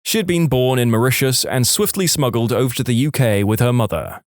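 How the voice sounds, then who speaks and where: A man's voice: mid-range voice, fairly quick, no echo, clean studio sound. A man reading scripted online stories as a narrator, acting out the quoted speech.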